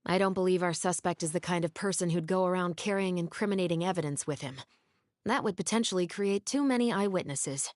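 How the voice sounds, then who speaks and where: An actress playing a brisk, keen young female patrol officer in a video game, speaking in an articulate, confident, analytical way. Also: on the low side, no echo, clean studio sound, natural voice. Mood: firm, reasoning. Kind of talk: scripted video game voice acting.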